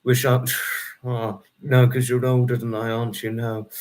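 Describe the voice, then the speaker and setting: A young man in his twenties hosting a casual livestream, chatting and answering viewer comments by name in a rambling, friendly way. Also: medium pitch. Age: 20s. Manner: rambling, restarts number sums aloud. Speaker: livestream host.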